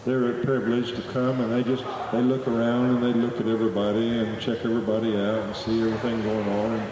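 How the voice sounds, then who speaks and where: A man's voice: mid-range voice, little pitch movement, quick pace. Folksy man on a festival stage. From Oklahoma. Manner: folksy, joking storyteller. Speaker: flute performer addressing a festival audience. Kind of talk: live stage talk with folksy humor. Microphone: stage PA microphone. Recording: echoey live recording from far off. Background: festival crowd.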